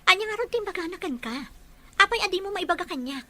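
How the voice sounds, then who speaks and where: A woman's voice: very high voice, lively intonation, fast, sharp tone, exaggerated voice. A woman playing an earnest, caring young fiancée in an audio drama. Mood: hurt, insistent. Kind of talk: Ilocano audio drama acting.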